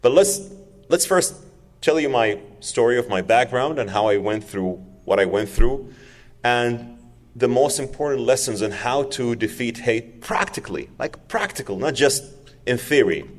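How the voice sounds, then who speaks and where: A man's voice: mid-range voice, expressive, measured pace, long reverb tail. A man, telling his personal story to an audience in an earnest, emphatic way, repeating words for stress. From Saudi Arabia. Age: 40s. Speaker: public speaker.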